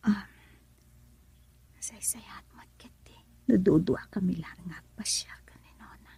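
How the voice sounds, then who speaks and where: A woman playing a young Filipino woman in a romantic family radio drama, with a breathy, husky voice and an emotive delivery. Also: mid-range voice, a little slow, dark tone, no echo, full character voice. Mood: soft, casual.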